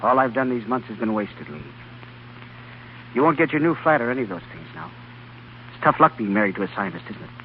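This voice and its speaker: An actor playing an earnest, compassionate research doctor in an old-time radio drama. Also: male voice, medium pitch, lively intonation, fairly quick, long pauses, lightly acted. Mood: dejected. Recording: dull, hissy old radio broadcast.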